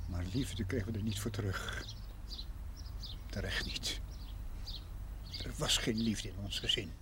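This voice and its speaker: A man playing a resigned, reflective retired schoolmaster of sixty-six in a television drama, speaking haltingly with pauses mid-sentence. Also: on the low side, natural voice. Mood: bitter, resigned. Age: 60s. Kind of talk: naturalistic 1970s television drama acting. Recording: noisy old TV soundtrack, birdsong behind. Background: birdsong, ambient noise.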